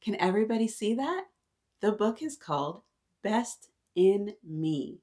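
A woman in her thirties reading aloud to young children, animated and encouraging, often addressing them directly with questions. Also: mid-range voice, unhurried. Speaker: children's story-time host. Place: small decorated indoor room.

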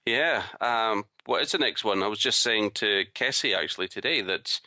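A man's voice: on the low side, animated, normal speed, bright, forceful tone, dry recording. A man co-hosting a podcast in casual, wry, joking chat. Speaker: podcast co-host.

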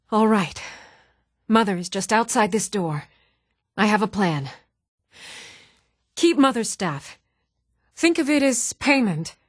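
An actress playing an earnest young townswoman and adventurer in a fantasy video game, with a measured, serious delivery. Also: medium pitch, dry recording, clean studio sound. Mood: resolute, troubled. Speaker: voice actor. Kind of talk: video game character dialogue.

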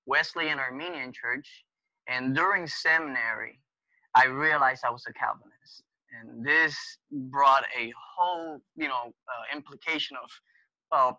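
Guest: thirties man, Spanish first language, very bright tense tone, dry dull webcam audio. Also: medium pitch, dry recording. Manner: halting, many filler uh pauses. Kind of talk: casual conversation over video call. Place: small room at home. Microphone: webcam video call.

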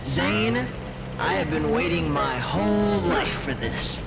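A man voicing a vengeful, gloating video game boss villain in a gravelly voice. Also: on the high side, lively intonation, takes it slowly, very noisy, full character voice.

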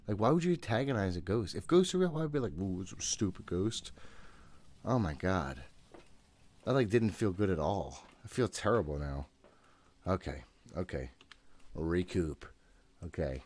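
A man's voice: low-pitched, animated, normal speed, long pauses, darkish tone. A man in his thirties giving casual, jittery, profane gameplay commentary, often talking to himself. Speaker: gaming host. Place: room at home.

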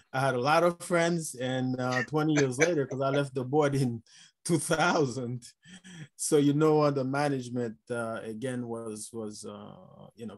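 A man in his fifties with a Haitian accent, speaking as a panelist on a video call from his office, chuckling often and pausing on fillers. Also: medium pitch. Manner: warm, chuckling, unhurried with many fillers. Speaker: panelist, chief medical officer. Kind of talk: conference talk over video call. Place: office with blinds and glasses display. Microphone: video call webcam.

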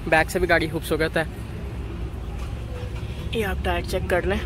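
A man walking viewers around used cars at an outdoor bazaar, listing their features in a steady, repetitive way. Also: high voice, unhurried. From Pakistan. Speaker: car market video host. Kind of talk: walkaround car review for viewers. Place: outdoor car bazaar, dusty lot. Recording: outdoor market noise behind.

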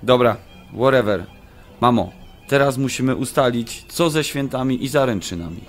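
Man playing adult son, average pitch, casual, placating, mildly exasperated, radio drama. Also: expressive, normal speed, soft background music, natural voice.

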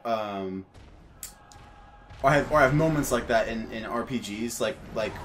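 A man's voice: medium pitch, moderate pace, fairly bright tone. A young man bantering casually as he watches a friend play a video game and comments along. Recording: noisy, game ambience behind voice.

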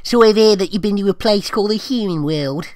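A curious, forward cartoon mare, played by a man in a storybook reading. The voice is pushed up high and put on. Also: a little fast, no room sound, studio quality, natural voice. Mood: inquisitive. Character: curious, forward female pony.

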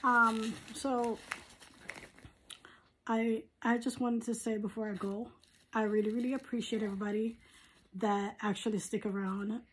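A woman with a hoarse, husky voice, giving a casual, rambling product review as a vlogger at home. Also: fairly high pitch, flat delivery, a little slow, pauses often, a little hiss or hum, rough recording. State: hoarse, recovering from surgery. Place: living room at home.

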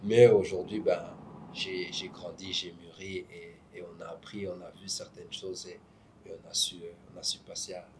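Low-voiced young man, halting. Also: flat delivery, noisy background. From Belgium. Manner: hesitant, restarts often, adds "tu vois". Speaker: guest. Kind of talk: casual interview talk. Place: hotel lounge, indoors.

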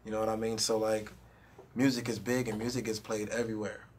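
A man's voice: fairly low pitch, level tone, fairly quick, a little mellow, slightly rough. A young man, a rapper, chatting casually in an interview with a handheld mic in a studio room, laid-back and full of "you know what I mean". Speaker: rapper guest.

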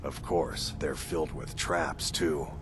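An actor voicing a wary male adventuring companion in a fantasy video game, speaking in a measured, cautionary way. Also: rumbling low, steady tone, background noise.

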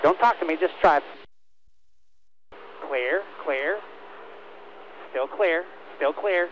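A man's voice: a little high, noisy background. A man working as a race spotter, speaking in short, repeated commands over a team radio. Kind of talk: urgent radio spotting calls to a driver.

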